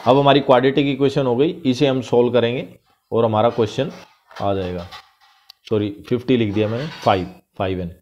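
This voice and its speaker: A man's voice: medium pitch, steady tone, a little mellow, lo-fi sound, a little hiss or hum. A male math teacher with an Indian accent, talking through a worked problem step by step over a screen recording. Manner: explains step by step, reads English question lines slowly.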